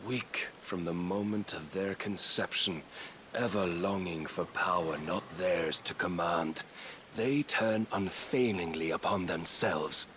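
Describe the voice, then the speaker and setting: An actor playing a sinister, contemptuous zealot villain in a fantasy video game, speaking in a grandiose, measured, sermonizing way. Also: male voice, low-pitched, fairly bright tone, a little hiss or hum. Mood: cold contempt. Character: sinister zealot male villain, contemptuous of mankind, preaching salvation.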